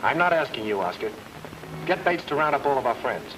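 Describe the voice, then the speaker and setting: An actor playing a confident private detective in an old-time radio noir drama, terse and commanding in manner. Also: male voice, medium pitch, very animated, a little fast, close to an everyday voice, rough recording. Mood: brisk. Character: brisk, confident hard-boiled private detective. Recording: old radio recording with music.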